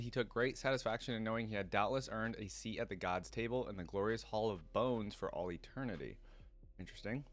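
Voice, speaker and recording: A man hosting a reaction video, reading lore aloud and adding casual asides. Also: fairly low pitch.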